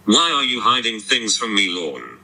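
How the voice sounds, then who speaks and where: A man's voice: on the low side, big pitch swings, normal speed, vocal fry, bright, forceful tone, poor audio. A synthetic, robotic male voice confronting someone on a call with blunt, clipped, insistent sentences.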